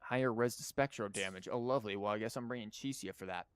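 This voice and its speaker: A man's voice: fairly low pitch, fast talker, clean tone, dry recording. A man giving casual livestream commentary on a game, rambling informally and thinking aloud with false starts. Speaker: video game streamer.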